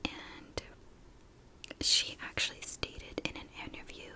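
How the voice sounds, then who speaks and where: A woman telling a true crime story in a breathy ASMR whisper, with a soft, informal manner. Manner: soft, unhurried, informal narration.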